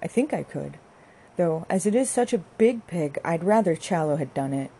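A woman's voice: fairly low pitch, expressive, normal speed, warm tone, slightly rough. A woman voicing a tender-hearted young countryman, earnest and pained, in an audiobook. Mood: reluctant. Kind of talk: audiobook character dialogue.

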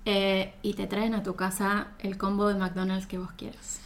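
A woman's voice: mid-range voice, completely flat delivery, measured pace. A woman from Comodoro Rivadavia with an Argentine Spanish accent, co-hosting a podcast in casual chat and upbeat about her recommendations. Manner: upbeat, recommending things with enthusiasm. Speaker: podcast co-host.

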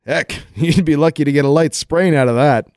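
An actor playing a sarcastic, folksy, unflappable adventurer hero in a comic 1940s-style radio play. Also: male voice, fairly high pitch, normal speed, darkish tone, dry recording, clean studio sound, close to an everyday voice. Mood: wry.

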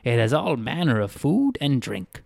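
A man's voice: mid-range voice, very animated, moderate pace, creaky, darkish tone, dry recording. A man voicing an odd, neckless male town greeter who is relentlessly cheerful and speaks in a sing-song, overly polite way in a storybook reading. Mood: eerily cheerful.

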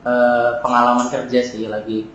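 A man's voice: medium pitch, completely flat delivery, moderate pace, fairly bright tone. Echoey young man with a Jakarta accent. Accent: Jakarta Indonesian. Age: 20s. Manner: chatty, laughs often, many fillers. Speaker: podcast guest, former student leader. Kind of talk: casual chat. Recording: very echoey room, faint noise.

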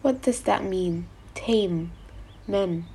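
A woman voicing a gentle, wistful male fox from a storybook, speaking in an earnest, measured way. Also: medium pitch, gravelly, husky, full character voice. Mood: curious. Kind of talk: storybook character voice.

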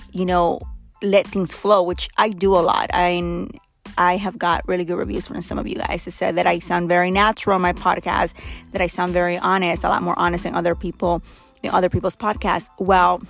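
Hoarse, gravelly-voiced woman podcast host. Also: fairly low pitch. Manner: blunt, candid, chatty. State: losing her voice, hoarse. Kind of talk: casual solo podcast talk.

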